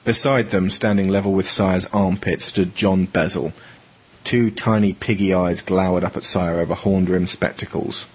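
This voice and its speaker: Male narrator, deep and slightly husky, for scripted audio drama storytelling. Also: fairly even intonation, moderate pace.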